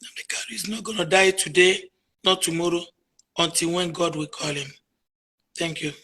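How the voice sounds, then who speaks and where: A Nigerian woman whose first language is Igbo, answering interview questions emphatically, dropping to near murmurs and then rising to loud declarations. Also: lowish voice, moderate pace, slight background noise. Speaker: interviewee, activist. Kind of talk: emotional call-in interview.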